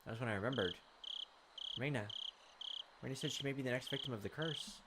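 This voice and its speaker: A man's voice: mid-range voice, quick pace, heavy background noise. A man hosting a gaming video, reading visual novel narration aloud dramatically with casual asides.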